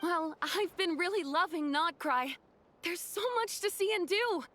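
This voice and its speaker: A friendly young woman speaks in an extremely high, bright voice. She is chirpy and easygoing in scripted video game dialogue. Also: unhurried, slight background noise. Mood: friendly, cheerful.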